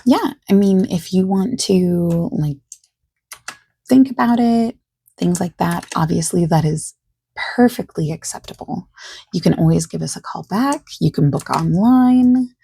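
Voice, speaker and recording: A soft-spoken woman doing an ASMR roleplay as a friendly phone salesperson, explaining things at length in a gentle, reassuring, rambling way. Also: fairly low pitch, animated, a little slow. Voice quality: soft, husky, creaky.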